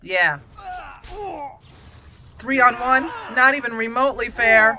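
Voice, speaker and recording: A woman giving casual, playful gameplay commentary with animated reactions, now and then reading game text aloud. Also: on the high side, slow pace, crowd noise. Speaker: host of a game roleplay channel.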